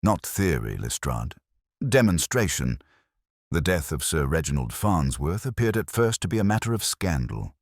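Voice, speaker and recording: Man acting as a composed Victorian sleuth, extremely low voice, animated swoops, theatrical, precise, storybook detective. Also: moderate pace, no room sound, studio quality, exaggerated voice. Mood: composed, lecturing. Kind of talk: audiobook detective story dialogue.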